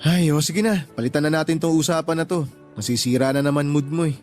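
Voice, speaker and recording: A man playing a calm, supportive young boyfriend in a Tagalog radio drama, speaking in a reassuring, conversational way. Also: a little high, fast talker, soft background music, close to an everyday voice. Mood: concerned.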